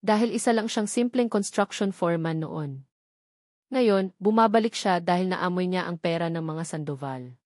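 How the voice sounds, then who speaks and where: A woman's voice: lowish voice, normal speed, darkish tone, dry recording, clean studio sound, lightly acted. A woman voicing a bitter, angry elderly mother who reproaches in defense of her son, in an audio drama. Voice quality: hard, trembling voice. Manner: accusing, reproachful.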